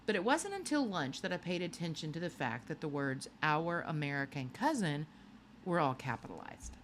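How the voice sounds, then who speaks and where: Low-pitched woman reading a novel, very animated. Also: a little fast, a little hiss or hum. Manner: animated storytelling with acted dialogue. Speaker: audiobook reader. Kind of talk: expressive read-aloud of a children's novel.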